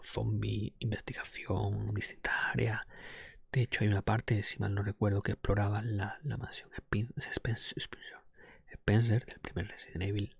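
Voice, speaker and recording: A man talking in a casual, breathy ASMR whisper close to the microphone, thinking aloud with some hesitation. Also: on the low side, a little mellow, dry recording. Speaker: ASMR podcast host. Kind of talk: whispered ASMR talk, casual game ranking.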